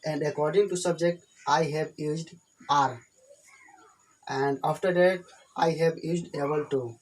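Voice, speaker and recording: A man's voice: on the high side, steady tone, a little slow, low-quality recording. A man from India with an Indian accent and Hindi as his first language, teaching an English grammar lesson at a whiteboard and repeating his points plainly. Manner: repetitive, plain explanations.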